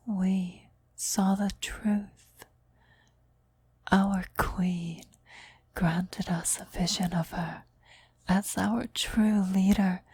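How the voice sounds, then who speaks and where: Woman acting a breathy, whispering devoted cultist. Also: medium pitch, full character voice. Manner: reverent, deliberate, hushed. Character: devoted female cultist, eerily reverent servant of demon queen. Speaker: voice actor in an audio roleplay. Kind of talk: whispered ASMR roleplay acting.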